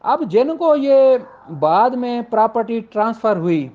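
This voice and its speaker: A man's voice: very high voice, big pitch swings, a little slow, darkish tone. A man giving an informal explanatory law lecture, speaking emphatically and repeating points for clarity. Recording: dull, lo-fi audio.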